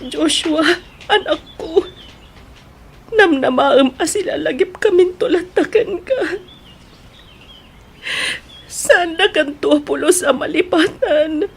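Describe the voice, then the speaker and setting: High-pitched, tender, fretful mother played by a woman, Ilocano accent, plaintive radio drama delivery. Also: slow pace, creaky, pauses often, heavily acted. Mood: sorrowful, tender. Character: anxious, tender, devout mother of ailing children.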